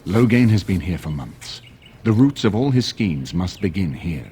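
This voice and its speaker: Dignified, low-voiced noble statesman. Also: very animated, warm tone, a little hiss or hum. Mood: grave, determined. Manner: measured, formal, earnest. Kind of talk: dramatic fantasy game acting.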